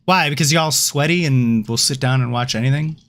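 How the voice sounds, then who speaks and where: A man's voice: mid-range voice, a little fast, fairly bright tone. A man hosting a casual chat show about video game films, joking around in loose slang. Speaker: show host.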